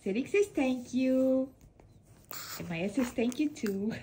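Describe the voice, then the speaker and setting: A young woman, a pet channel host reading holiday mail aloud at home, speaking gently with soft laughs and cooing at her dogs. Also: high voice, lively intonation, slow, a little hiss or hum. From Estonia. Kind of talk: casual vlog narration reading mail aloud. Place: home room with bed, off camera.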